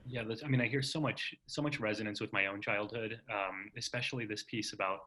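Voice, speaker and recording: Bright, lowish-voiced American male interviewer. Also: steady tone, brisk. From Texas, United States. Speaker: interviewer, show host. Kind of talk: casual reflective interview conversation.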